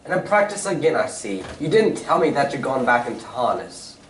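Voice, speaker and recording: A man playing a brisk, self-assured English detective with an urbane, lecturing manner in a stage reading of a radio drama. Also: medium pitch, very animated, close to an everyday voice. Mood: genial, assured. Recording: distant roomy stage recording, faint noise.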